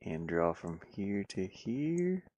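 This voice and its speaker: A man's voice: sits low, very animated, slow, darkish tone, dry recording. A man talking himself through his work in a casual think-aloud commentary, muttering playfully. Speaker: game developer streaming his pixel art work.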